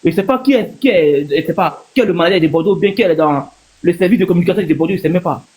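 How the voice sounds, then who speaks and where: A man's voice: sits high, quick pace, a little mellow. A man from Ivory Coast with an Ivorian accent, giving his opinion casually as a panel guest on a live stream, repeating himself for emphasis.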